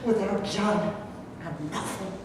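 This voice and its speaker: A woman's voice: medium pitch, a little slow, lightly acted. A woman reading a poor, defiant mother, echoey hall. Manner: combative, pleading, emotional. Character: poor defiant mother fighting for her son. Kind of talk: staged play reading. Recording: echoey hall from far off, faint noise.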